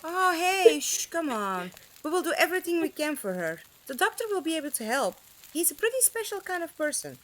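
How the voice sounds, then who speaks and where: A woman plays a plucky young traveller with a high, very animated voice. She speaks frankly and informally, with a kind, reassuring way, as in an audio drama. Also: brisk, heavily acted.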